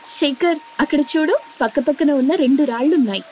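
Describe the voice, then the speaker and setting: A woman's voice: high-pitched, fairly quick, darkish tone, faint music behind, lightly acted. A woman voicing a sensible, affectionate young elder sister in an earnest, plain-spoken way for a cartoon story.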